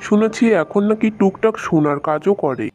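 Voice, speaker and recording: A man's voice: high-pitched, animated, moderate pace, darkish tone, faint music behind. A man voicing a worried, cautious poor village woodcutter in a cartoon, speaking in a plain, musing way. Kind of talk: cartoon story dubbing.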